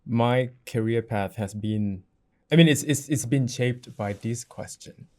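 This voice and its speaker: A man, giving measured, reflective answers as an interview guest. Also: medium pitch, a little mellow. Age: 30s. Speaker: parliamentary candidate, interview guest.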